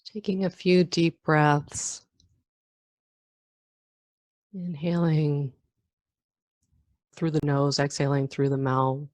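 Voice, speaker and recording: Female meditation teacher, deep register, calm and slow with long pauses, dry sound. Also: no room sound. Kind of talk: guided meditation.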